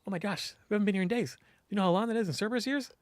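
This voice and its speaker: Guy doing a hyper little demon dog girl for a game, high pitched, gushing, eager and cheeky, dry sound. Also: rapid-fire, no echo, close to an everyday voice. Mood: excited.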